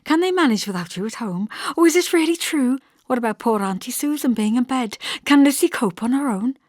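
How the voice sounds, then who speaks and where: Woman acting gushing, high-pitched excitable young woman. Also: dry recording, clean studio sound. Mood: anxious hope. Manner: gushing, rapid questions. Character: excitable, grateful young pregnant woman, gushing and breathless with joy. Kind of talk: audiobook character voice.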